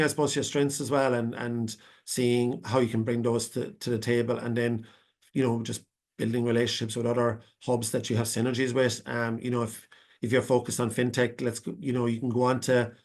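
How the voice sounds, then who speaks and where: An Irish man speaks over a video call, his pitch staying flat and level. He answers at length and wanders through his point with frequent restarts and fillers. It sounds like a live panel webinar. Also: fairly quick. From Ireland. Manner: rambling, many restarts and fillers. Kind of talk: unscripted answer to a question.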